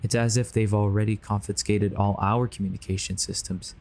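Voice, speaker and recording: A man's voice: lowish voice, little pitch movement, brisk, darkish tone, natural voice. A man playing a grave, earnest believer warning others of a sinister conspiracy in an audio drama. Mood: grave, urgent. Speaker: voice actor.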